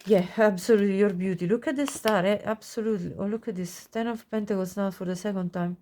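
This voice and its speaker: Woman w a cold reading tarot, nasal, soft and mellow, keeps gushing over the cards. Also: mid-range voice, fast, a little hiss or hum. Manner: soft, gushing, warm-hearted with frequent little laughs. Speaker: tarot reader. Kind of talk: pick-a-card tarot reading to camera.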